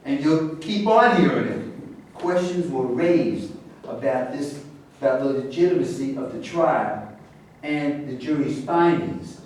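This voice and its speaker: A man in a staged reading playing a measured, worldly former celebrity. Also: on the high side, lively intonation, darkish tone. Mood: challenging. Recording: heavy room echo, far mic, faint hiss.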